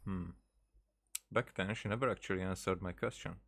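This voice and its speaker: A male gamer with a fairly low voice talks casually through a playthrough. He thinks aloud, halting and doubling back on his words. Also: fairly even intonation, creaky, a bit bright. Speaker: gaming streamer.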